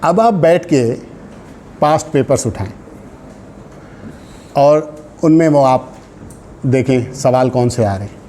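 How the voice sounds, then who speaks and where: A man's voice: mid-range voice, expressive, slow, long pauses, warm tone, a little hiss or hum. A man with a Pakistani accent giving informal exam coaching, instructive, mixing in English terms and checking in with short tags. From Sindh, Pakistan. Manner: switches into English terms and phrases. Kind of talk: informal classroom lecture with exam advice.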